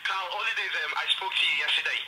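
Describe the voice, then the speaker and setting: A man's voice: high voice, loud music behind the voice. A man making a rehearsed sales call about compensation claims, speaking over a phone line. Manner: brisk, rehearsed sales patter. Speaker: claims company caller. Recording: loud hiss over a tinny phone line.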